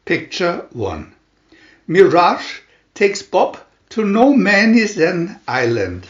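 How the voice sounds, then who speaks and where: A man reading a scripted picture storybook aloud in short, deliberate phrases with pauses between them. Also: sits high, huge pitch swings, roomy sound, lo-fi sound. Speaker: reader of picture-story booklets.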